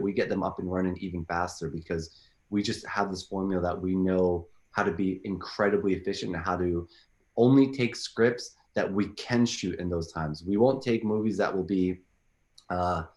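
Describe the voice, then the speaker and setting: A very low-pitched man talks loosely as an interview guest, circling back with lots of "like" and restarts. His voice rings in a roomy, echoing space. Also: darkish tone. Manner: rambling, filler-heavy, frequent restarts. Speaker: filmmaker guest. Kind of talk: casual interview answers.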